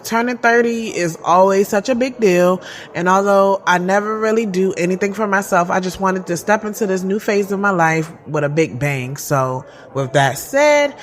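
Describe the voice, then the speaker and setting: A woman's voice: on the low side, close to an everyday voice. A woman playing a heartfelt, lovelorn thirty-year-old who narrates her own story in a conversational, confiding way for an audio drama. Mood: grateful, excited. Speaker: lead actress and narrator.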